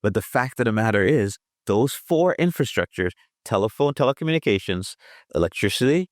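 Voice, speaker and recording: Man, very low voice, measured, explanatory, dry close sound. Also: expressive, no echo. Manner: measured, explanatory, lists points. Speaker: panelist. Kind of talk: roundtable discussion.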